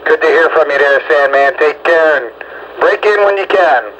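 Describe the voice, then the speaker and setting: A man's voice: fairly high pitch, normal speed. A man chatting casually over two-way radio as an operator checking in callers, with a folksy, rambling manner. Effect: two-way radio transmission. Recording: muffled CB radio with loud static.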